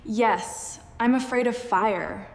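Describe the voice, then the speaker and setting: Indian woman in her 20s, english teacher, short clear practice answers, scripted drill. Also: on the high side, takes it slowly. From Delhi, India. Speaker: English trainer.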